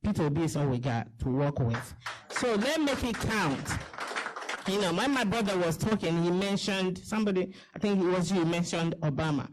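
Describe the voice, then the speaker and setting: A woman's voice: fairly low pitch, expressive, normal speed, slight background noise, poor audio. Impassioned woman rallying a crowd. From Nigeria. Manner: impassioned, persuasive, pleading. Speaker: diaspora campaign organizer. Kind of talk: speech to a gathering.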